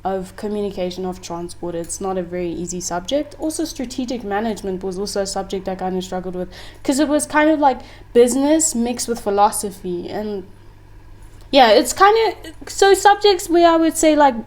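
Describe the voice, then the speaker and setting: A woman's voice: mid-range voice, expressive, a little fast, a little mellow. Candid young woman, French-native guest. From Congo. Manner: candid, laughs often, frequent fillers. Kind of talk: casual interview chat.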